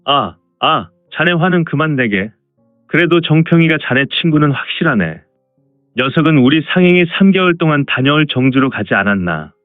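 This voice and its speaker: A man's voice: fairly high pitch, big pitch swings, moderate pace, no echo, narrow, tinny audio. A man playing a good-natured, teasing caravan escort chief with bossy, old-fashioned speech in a martial arts audio drama. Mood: bossy, encouraging. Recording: dry, band-limited, music underneath.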